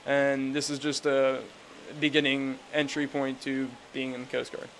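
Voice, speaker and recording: Man of eighteen, highish monotone voice, casual vox pop, faint location noise. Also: unhurried, low-quality recording. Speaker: lifeguard.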